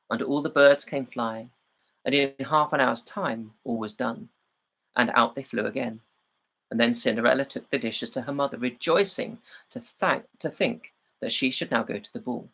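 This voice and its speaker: A man reading a fairy tale aloud as a storyteller, in a measured and expressive way. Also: mid-range voice, vocal fry, slightly rough.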